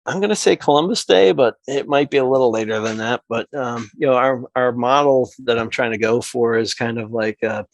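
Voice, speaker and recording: A man's voice: mid-range voice, brisk, hardly any pauses. A man who makes mead, guest on a podcast, talking enthusiastically in long run-on stretches. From New Hampshire. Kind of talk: casual podcast interview.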